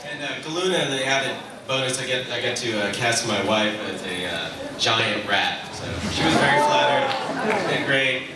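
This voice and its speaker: A man's voice: medium pitch, big pitch swings, moderate pace, over a noisy crowd. A man who directs voice actors, chatting on a convention panel in a rambling way full of fillers. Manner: rambling, many fillers and restarts. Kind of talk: casual panel chat. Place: large convention hall. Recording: echoey hall, far from mic, noisy.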